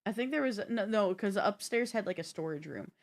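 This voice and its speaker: A woman's voice: mid-range voice, animated, a little fast. A woman co-hosting a live stream in casual, unscripted chat, often thinking aloud and peppering her talk with "like". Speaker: podcast and live stream co-host.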